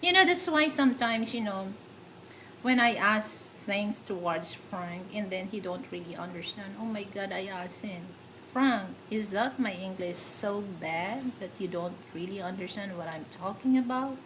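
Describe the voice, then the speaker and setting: A woman with a Filipino accent hosts a casual livestream at an unhurried pace. She chats with many fillers and giggles often. Faint background noise runs under her voice. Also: mid-range voice. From Philippines. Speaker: livestream host.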